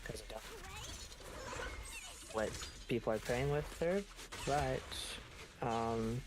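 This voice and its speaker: A man's voice: medium pitch, extremely slow, noisy background. A man in a casual gaming chat, explaining things in a hesitant way. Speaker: co-commentator giving game advice.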